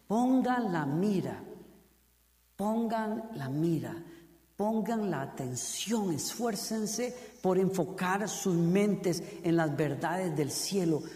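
A high-voiced man with a Costa Rican Spanish accent preaching a sermon. He drives his points home with repeated phrases and asks the congregation direct questions. His voice rings out with a long reverb in a large hall. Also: a little mellow. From Costa Rica. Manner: building to fervent emphasis with repeated phrases.

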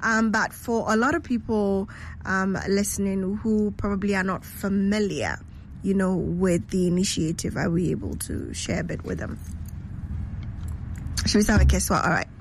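A woman's voice: mid-range voice, moderate pace, gravelly, slight background noise. A woman hosting a live radio show from her studio, chatty and bubbly, laughing often as she interviews guests.

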